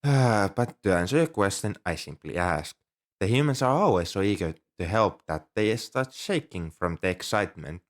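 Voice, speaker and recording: A man's voice: deep voice, big pitch swings, normal speed. A man playing an ancient, polite, nerdy dragon who collects coins, speaking earnestly and a little primly to the listener in an ASMR roleplay. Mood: proud, fond. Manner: polite, earnest, slightly prim and scholarly. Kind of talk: ASMR roleplay, one-sided dialogue with the listener.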